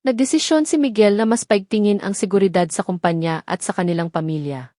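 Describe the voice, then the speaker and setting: A woman's voice: medium pitch, brisk, dark tone, no echo, studio quality. A woman narrator reading a scripted dramatic story.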